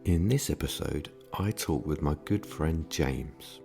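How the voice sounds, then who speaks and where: A man's voice: extremely low pitch, expressive, a little slow, creaky, dark tone. A man hosting a podcast, reading a scripted personal story as an introduction in a narrator's style. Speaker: podcast host. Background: music.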